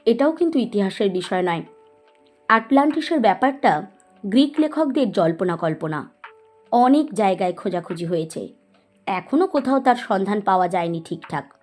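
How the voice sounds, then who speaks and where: A woman's voice: medium pitch, animated, moderate pace, a little mellow, soft background music, natural voice. A woman playing a curious, sceptical young history student in an audio story, questioning and wide-eyed. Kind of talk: dramatised audio story acting.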